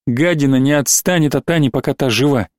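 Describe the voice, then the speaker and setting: A man voicing a calm, cold and firm male doctor in an audiobook, speaking level and unhurried. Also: mid-range voice, no room sound, studio quality, lightly acted. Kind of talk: audiobook reading.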